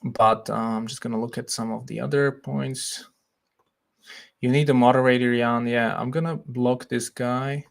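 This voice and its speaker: A young Slovak man with a Slovak accent, hosting a casual livestream, reading comments and thinking aloud in a relaxed way with frequent laughs. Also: medium pitch, normal speed, creaky. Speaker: livestream host.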